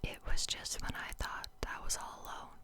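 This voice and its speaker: A woman's voice: moderate pace, lightly acted. A woman voicing a shy, nervous, self-doubting guest in a storybook reading, in a tentative whisper.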